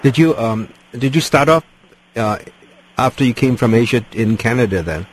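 A man's voice: medium pitch, expressive, normal speed, poor audio. A man interviewing a guest on the radio, asking hesitant questions full of fillers.

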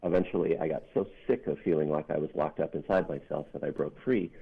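A middle-aged non-binary author with a deep bass voice, speaking thoughtfully and earnestly in an interview, pausing on ums and restarting sentences. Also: creaky, husky. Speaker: author guest. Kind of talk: reflective interview talk. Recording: narrow phone-line sound.

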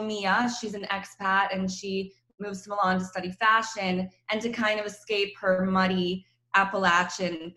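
A woman from Croatia, a novelist guest on a radio interview by phone, chatty and animated, laughing often. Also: fairly high pitch, reverberant. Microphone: phone call.

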